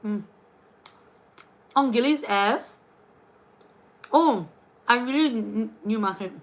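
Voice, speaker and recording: A woman's voice: fairly high pitch, takes it slowly, poor audio. A young woman with a New Zealand accent, chatting casually with viewers during a late-night livestream as a tarot and astrology reader. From New Zealand, raised partly in Singapore. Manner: chatty, animated, reading out viewer names. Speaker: tarot and astrology reader hosting a live stream.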